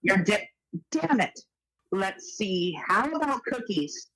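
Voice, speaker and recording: Woman in a casual group call game, mid pitch voice. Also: sing-song, takes it slowly, a bit bright, low-quality recording. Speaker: truth or dare player.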